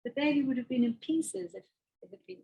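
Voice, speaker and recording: A woman's voice: high-pitched, lively intonation, quick pace, dark tone, breathy edge, low-quality recording. Thoughtful woman presenting her artwork. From Botswana. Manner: hesitant, thoughtful, with fillers and restarts. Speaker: artist giving a talk. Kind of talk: presenting a slideshow talk.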